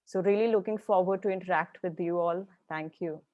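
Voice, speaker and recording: A woman's voice: lowish voice, brisk, no room sound, narrow, tinny audio. A woman from India with an Indian accent, introducing herself politely as a professor. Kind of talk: self-introduction on a webinar.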